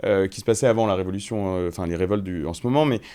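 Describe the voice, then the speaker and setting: Guy interviewer, lowish voice, lots of euh and restarts when he asks stuff. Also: very fast, warm tone. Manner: hesitant, many fillers and restarts. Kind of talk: interview questions.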